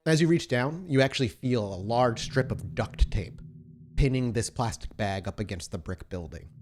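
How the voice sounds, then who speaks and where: A man narrating a tabletop horror role-playing game as game master, setting scenes in descriptive, deliberate detail. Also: medium pitch, expressive, a little mellow, a little hiss or hum. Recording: music playing under the voice. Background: music.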